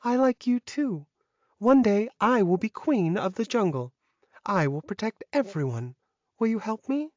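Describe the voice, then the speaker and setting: Woman playing a very animated, mischievous little girl. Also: medium pitch, measured pace, no echo, highs cut off. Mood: hopeful. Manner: earnest, giggly, proud. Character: mischievous, idealistic little peasant girl with magic. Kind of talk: audiobook character dialogue.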